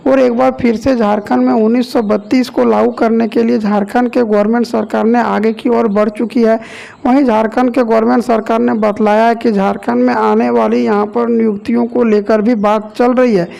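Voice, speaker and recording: Gravelly high-pitched man reading news. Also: a little mellow. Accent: Jharkhand Hindi. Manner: repetitive, formulaic delivery with stock phrases. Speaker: news presenter. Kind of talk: online news voice-over.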